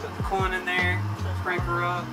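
A man's voice: high voice, monotone, moderate pace, over loud music. A man in his twenties, casually narrating repair work on a small sailboat in a vlog. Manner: laid-back, narrates boat repair steps. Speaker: vlogger. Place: small sailboat cabin indoors.

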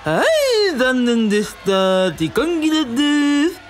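Woman as showy quiz host, high and swooping. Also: sing-song, slow pace, faint music behind, heavily acted. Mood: gleeful mock sympathy. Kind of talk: game show presenting in a radio drama.

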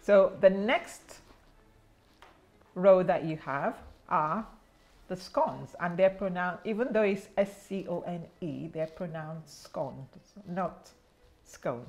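A woman's voice: lowish voice, expressive, takes it slowly, darkish tone. Woman etiquette tutor, prim, precise delivery. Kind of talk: polite instruction, gently teasing.

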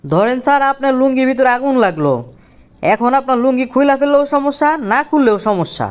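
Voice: high voice, expressive, fast. A performer voicing a cheeky, literal-minded village job applicant in a comedy cartoon, with a Bangladeshi accent and eager, colloquial speech. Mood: confidently silly. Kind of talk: comic cartoon dialogue.

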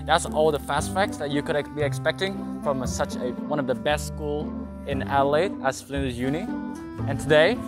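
A man's voice: a little high, normal speed, over loud music. Upbeat young man hosting a campus vlog. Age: young adult. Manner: upbeat, repeats the answers back. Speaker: vlog host interviewing students. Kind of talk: casual street interview vlog. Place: outdoors on a university campus and in a library. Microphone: handheld mic.

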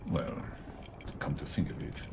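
An actor playing a smug, murderous middle-aged police commissioner in a sci-fi audio drama, unctuous and menacing. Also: male voice, unhurried, natural voice. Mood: smug, gloating. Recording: video game audio, loud noisy background.

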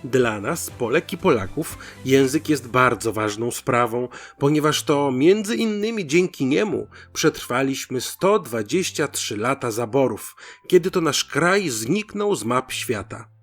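A man narrating a folk tale as host, in an expressive, theatrical storytelling style. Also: mid-range voice, a little slow, hardly any pauses, soft background music.

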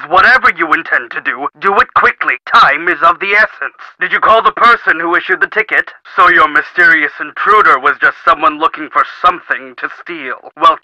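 An actor playing a middle-aged company boss in a video game, pompous, formal, demanding and impatient. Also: male voice, sits high, bright, forceful tone, dry recording, poor audio. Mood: urgent.

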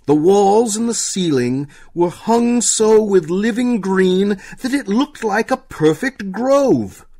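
Male storyteller: dramatic, unhurried, suspenseful, higher-pitched and lively. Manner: deliberate, suspenseful pauses. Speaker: narrator.

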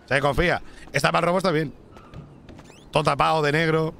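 A man's voice: a little high, fast, creaky, a little hiss or hum. A young man with a Spanish accent from Spain, streaming a crime roleplay game and chatting to his viewers in a loose, swearing way. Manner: animated, swearing, jumps between in-game talk and asides to viewers. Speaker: streamer roleplaying a bank robber. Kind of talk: casual gaming roleplay chat.

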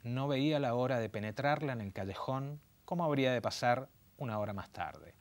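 A man in his forties with an Argentine Spanish accent, hosting and reading a story aloud in an intimate, theatrical way with slow, dramatic pauses. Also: mid-range voice, animated. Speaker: host and narrator. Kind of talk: late-night story reading. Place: small dim studio set.

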